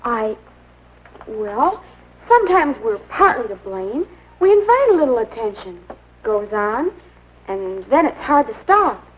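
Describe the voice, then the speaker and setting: A woman's voice: on the high side, big pitch swings, measured pace, husky, exaggerated voice. A woman playing a sensible, earnest teenage girl in a 1950s instructional film, speaking carefully. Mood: thoughtful. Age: young adult. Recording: muffled old educational film soundtrack.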